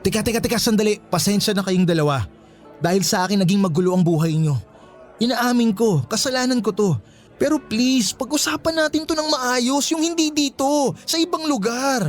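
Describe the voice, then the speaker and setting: An actor with a Filipino accent playing a flustered, apologetic young boyfriend, pleading and placating in a radio romance drama. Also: male voice, very high-pitched, brisk, soft background music.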